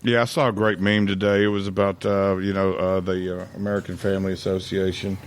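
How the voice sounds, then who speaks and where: A man co-hosting a casual podcast, blunt and rambling, with many pauses and fillers. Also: low-pitched, little pitch movement. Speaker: podcast co-host. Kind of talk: casual profane podcast chat.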